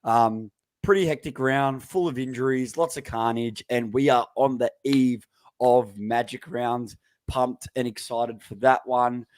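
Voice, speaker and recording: A man co-hosting a casual sports podcast, talking in a relaxed, rambling way with many fillers. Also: medium pitch, unhurried. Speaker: podcast co-host.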